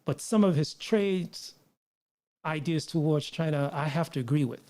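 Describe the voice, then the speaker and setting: New guy rambling on a livestream, lots of restarts, kinda highish mellow voice. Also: moderate pace. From United States. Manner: rambling, frequent restarts and asides to viewers. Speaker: livestream host. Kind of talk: casual live stream chat. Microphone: mixer setup with line noise.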